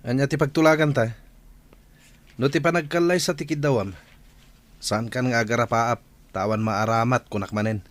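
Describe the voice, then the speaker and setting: A man's voice: mid-range voice, fairly even intonation, normal speed, long pauses, dry recording, lightly acted. A man with an Ilocano accent playing an idle, surly young village husband in a radio drama. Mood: irritated.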